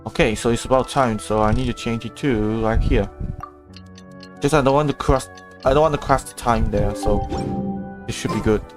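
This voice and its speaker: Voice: mid-range voice, normal speed, long pauses. A woman livestreaming a video game, giving casual commentary as she plays. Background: game music.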